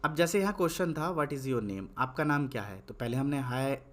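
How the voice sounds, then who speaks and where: A young man giving an English lesson in Hindi. He asks questions and answers them himself, repeating points. When writing he slows to dictate one word at a time. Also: mid-range voice, expressive, fairly bright tone. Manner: repetitive, asks and answers his own questions. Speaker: English tutor.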